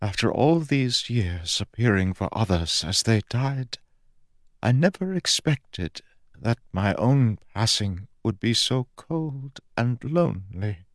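A man voicing a weary, dying ancient male god, speaking softly, slowly and gravely. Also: mid-range voice, dry recording, studio quality, voice pushed up. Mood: sorrowful. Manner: soft, slow and grave, fading.

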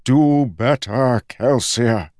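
A man's voice: mid-range voice, no room sound, studio quality, voice pushed up. A man voicing a fading, solemn ancient god. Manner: soft, slow and grave, fading.

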